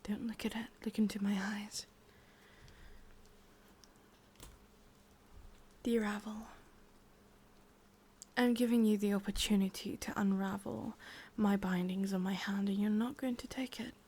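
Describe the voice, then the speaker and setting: A woman with an English accent playing a possessive, lovesick witch in a whispered ASMR roleplay, coaxing softly and intimately, close to the microphone. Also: mid-range voice, fairly even intonation, moderate pace. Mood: possessive, soothing. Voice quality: breathy, whispery.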